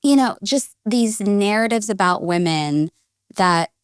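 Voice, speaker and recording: A woman's voice: medium pitch, animated, a little slow, clean tone, no echo, studio quality. Reflective woman coach in her forties. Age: 40s. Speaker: guest, leadership coach. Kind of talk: casual interview chat.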